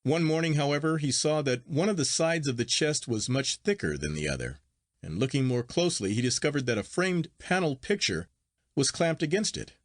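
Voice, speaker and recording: Expressive male audiobook narrator with lively intonation. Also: fairly high pitch, fairly quick, no room sound, clean studio sound. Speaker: audiobook reader. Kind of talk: scripted literary narration.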